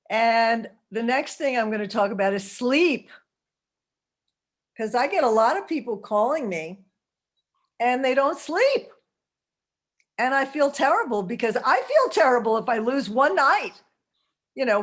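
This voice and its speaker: A woman in her seventies giving a holistic health talk over a video call, chatty, laughing often and tossing in asides like "okay?". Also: mid-range voice. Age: 70s.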